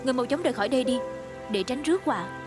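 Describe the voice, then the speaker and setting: Young female healer, fantasy costume dub, caring yet bossy, lightly high voice, prominent score behind. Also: expressive, racing, over music. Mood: brisk concern. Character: young female healer of a secret tribe, caring but scolding. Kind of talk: dubbed fantasy costume drama acting.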